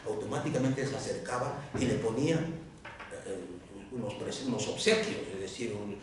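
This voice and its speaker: A man from Africa, a writer with another first language, speaking on a round-table panel in a lively way, with many restarts and repeated words. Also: medium pitch, normal speed, a crowd in the background. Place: lecture room. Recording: echoey hall, distant mic.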